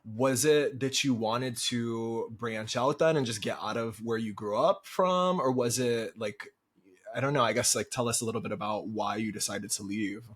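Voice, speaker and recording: A man's voice: medium pitch, moderate pace, husky. A man hosting a podcast, asking long, rambling follow-up questions and encouraging his guest. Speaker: podcast host. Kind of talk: casual interview.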